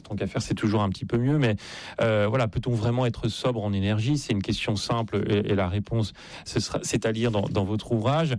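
A man's voice: medium pitch, darkish tone, no room sound. A man hosting a live radio interview, chatting casually and often repeating words as he searches for the next one. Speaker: radio show host and interviewer. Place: radio studio. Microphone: studio microphone.

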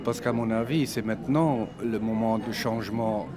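A man's voice: lowish voice, fairly even intonation, moderate pace. Male interviewee outdoors in a town, emphatic, with crowd noise around him. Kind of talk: interview answers.

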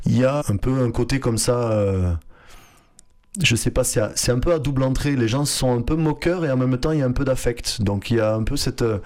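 A man's voice: medium pitch, a little fast, darkish tone. A young man who grew up with Flemish as his first language, answering interview questions with many fillers and restarts. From France (Hérault, Occitanie). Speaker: artistic director, guest. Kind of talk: radio interview.

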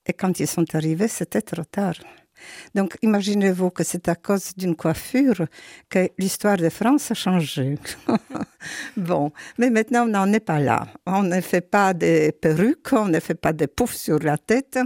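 An older woman hosting a radio interview, with a low, gravelly voice. She talks in a chatty way, full of exclamations and little asides. The sound is close and dry. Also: a little slow, darkish tone, no room sound. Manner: chatty, exclaiming, adds "hein". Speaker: radio show host.

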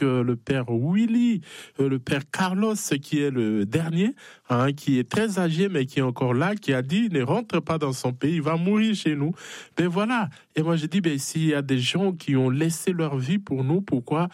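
A man's voice: a little high, darkish tone, no echo, studio quality. A man from the Democratic Republic of the Congo with a Congolese French accent, speaking as a missionary priest in a conversational interview, hesitant and often adding small affirming tags. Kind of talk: conversational interview, personal testimony.

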